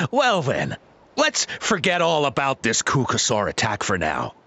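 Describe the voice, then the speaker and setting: A man's voice: medium pitch, huge pitch swings, takes it slowly, sharp tone, slightly rough, full character voice. A man playing an effusive, ingratiating travelling merchant in a video game, talking like a salesman. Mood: eager pitch. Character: ingratiating travelling male merchant with goods to sell.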